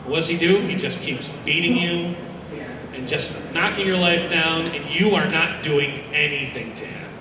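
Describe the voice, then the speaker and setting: A loud young man giving a rambling, animated, informal talk about video games to a live audience, acting out characters as he goes. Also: on the high side, normal speed, noisy background. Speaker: speaker presenting a ranked list. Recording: very echoey hall, distant mic, loud music behind.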